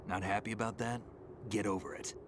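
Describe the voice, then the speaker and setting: A man plays a battle-worn soldier with a very deep, gravelly voice that stays close to a whisper, terse and unimpressed by anything. The game audio carries a clear hum of ambient noise beneath him. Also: steady tone, a little fast, close to an everyday voice. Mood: gruff. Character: gruff veteran male soldier, terse and stoic. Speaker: game character. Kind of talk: video game cutscene voice acting.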